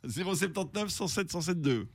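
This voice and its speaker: A man's voice: sits high, expressive, a little fast, gravelly, dry recording, studio quality. Joking radio host, man. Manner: joking, quizzing listeners. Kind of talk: casual morning radio chat.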